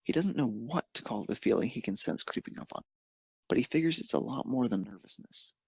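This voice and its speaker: A man's voice: mid-range voice, expressive, a little fast, gravelly, husky, dry recording. A man reading scripted fan fiction narration, occasionally stumbling over the words.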